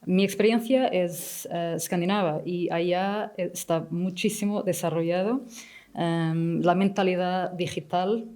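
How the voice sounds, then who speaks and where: A native Portuguese woman with a Portuguese accent, speaking as a panelist in a halting, word-searching way. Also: fairly low pitch, steady tone, darkish tone. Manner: halting, searching for words in a second language. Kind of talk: unscripted panel discussion.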